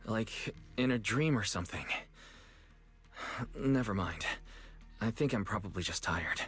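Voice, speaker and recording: A man's voice: fairly low pitch, lively intonation, measured pace, a bit bright. A man playing an earnest, easygoing young leader in a video game, speaking in a sincere, natural way. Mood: weary, puzzled. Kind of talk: scripted game voice acting.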